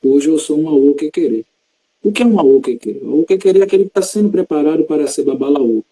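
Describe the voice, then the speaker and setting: A man's voice: fairly high pitch, animated, warm tone, breathy edge, low-quality recording. A man from Salvador, a religious practitioner explaining his tradition patiently in an interview. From Salvador, Bahia, Brazil. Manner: measured, teacherly, explains terms patiently.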